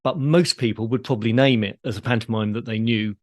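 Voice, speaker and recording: A British man hosting a podcast, explaining things in a measured, conversational way. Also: medium pitch, steady tone, a little mellow, no echo, clean studio sound. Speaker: podcast host.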